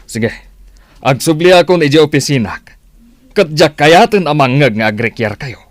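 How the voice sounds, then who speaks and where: A man's voice: a little high, expressive, normal speed, no room sound, natural voice. A man playing a stern, commanding office boss in a Filipino radio drama, with an Ilocano accent. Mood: scolding.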